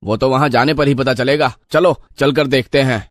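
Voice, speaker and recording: A man's voice: medium pitch, fairly even intonation, normal speed, no room sound, studio quality, lightly acted. A man voicing a curious, easygoing young village lad in a moral story. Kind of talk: animated moral story dialogue.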